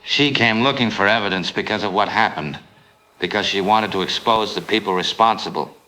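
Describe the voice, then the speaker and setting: A man's voice: fairly low pitch, fairly quick, sharp tone, low-quality recording. An actor playing a determined man set on rescuing his sister in an audio drama, terse, intense and resolute.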